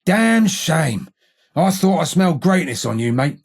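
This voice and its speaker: London gang tough, video game character, working-class British accent, husky and gravelly, animated pitch, gruff, sardonic, slangy. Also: male voice, measured pace, heavily acted. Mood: gruff, confiding. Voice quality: gravelly, rough. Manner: slangy, taunting, blunt.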